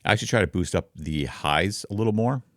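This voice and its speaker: Male streamer, rumbling bass with gravelly creak, unhurried casual explaining, very dry close-mic sound. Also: expressive, a bit bright, no echo. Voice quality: very deep, rumbling. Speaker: content creator, streamer. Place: home office. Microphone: close studio microphone.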